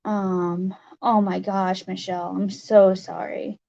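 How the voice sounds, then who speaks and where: A livestream host with a raspy voice, chatting casually and haltingly with viewers, with many fillers. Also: mid-range voice, little pitch movement, warm tone, lo-fi sound.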